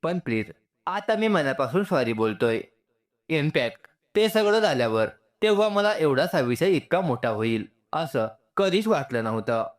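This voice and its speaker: A man's voice: fairly high pitch, very animated, normal speed. A man voicing a polite, friendly young man in a story, casual and sincere, mixing in English words. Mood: sincere, apologetic.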